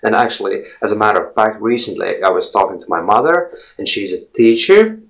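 A man's voice: lowish voice, expressive, fairly quick, gravelly. A Latvian man in his forties, a native Latvian speaker talking in English, giving a rambling casual monologue with many fillers and repeated words. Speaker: language blogger.

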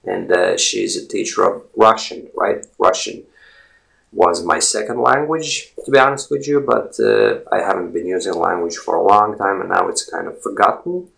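A Latvian man in his forties talks casually, his low voice gravelly with fry. His delivery rambles, full of fillers and restarts. He speaks English as a second language, and Latvian is his first. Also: moderate pace, a bit bright. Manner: rambling, filler-heavy, repeats words. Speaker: language blogger. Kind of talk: casual monologue.

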